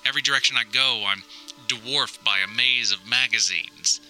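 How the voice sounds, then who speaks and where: A man's voice: mid-range voice, moderate pace, sharp tone, faint music behind, low-quality recording. A man playing an anxious, wry grown son recording a wordy, mock-dramatic audio diary. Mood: dramatic despair. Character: anxious, wry grown son lost in hoarder mother's house. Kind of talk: scripted comic audio diary monologue.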